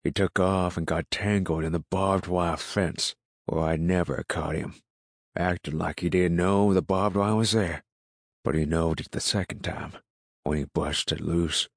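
A man voicing a gruff, ill-tempered rural farmer in an audiobook, grumbling in folksy, ungrammatical country speech. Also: sits low, steady tone, a little slow, no echo, clean studio sound. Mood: indignant. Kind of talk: audiobook character dialogue.

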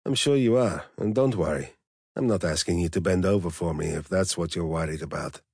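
A man voicing a suave, measured male lover with a polished English accent and dry humor. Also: sits low, a little mellow, husky, no echo. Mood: cool, teasing.

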